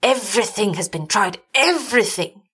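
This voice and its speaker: Casting: anguished older man of a haunted village, played by a woman, formal, melodramatic, slightly husky. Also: sits high, expressive, normal speed, bright, forceful tone, exaggerated voice. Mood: faint, hopeless. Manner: formal, melodramatic, weary speech. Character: anguished, despairing older gentleman, village husband haunted by horror.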